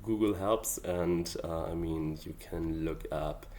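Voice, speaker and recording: Low, unhurried male vlogger, German native speaking English, thoughtful and hesitant, fills pauses with "um" and "like". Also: dark tone. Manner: hesitant, thoughtful, many fillers. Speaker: hobby songwriter. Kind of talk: casual explanatory vlog.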